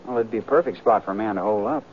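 An actor playing an earnest, inexperienced cowboy companion in an old radio western, with plain rustic speech. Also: male voice, on the low side, steady tone, rapid-fire, natural voice. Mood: helpful. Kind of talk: 1940s-50s radio western acting. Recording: muffled old radio recording, faint noise.

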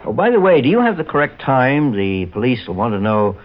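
An actor playing a shrewd, formal American investigator, probing and commanding, in an old-time radio drama. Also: male voice, mid-range voice, very animated, fairly quick, lightly acted. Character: shrewd, authoritative male private investigator, calmly probing. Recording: dull 1940s-50s radio recording.

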